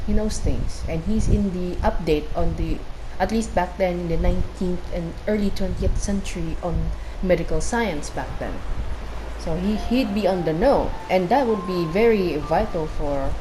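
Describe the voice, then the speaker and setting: A woman's voice: lowish voice, normal speed, noisy background. A woman with a Filipino accent chatting casually through gameplay commentary, drifting into digressive asides. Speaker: gaming streamer. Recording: loud game music behind voice. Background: game music and dialogue.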